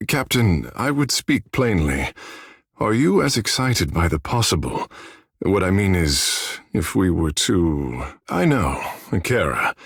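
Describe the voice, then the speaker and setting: An actor voicing a vain, flirtatious sea-folk water shaper in a video game, with theatrical, languid, florid delivery. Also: male voice, on the low side, gravelly, husky, no room sound, clean studio sound, heavily acted. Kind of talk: video game character dialogue.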